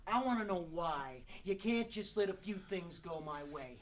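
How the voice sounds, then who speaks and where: A man's voice: sits high, animated, normal speed, slight background noise. A man performing a theatrical monologue as an exasperated, wisecracking struggling actor, sarcastic and colloquial.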